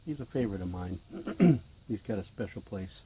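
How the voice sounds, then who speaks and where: A man with a hoarse voice, clearing his throat as he narrates a casual hobby vlog while feeding his tarantulas indoors, chatty and offhand, sometimes dropping to a hush. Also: on the low side, lively intonation, moderate pace, noisy background. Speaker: tarantula keeper filming feedings.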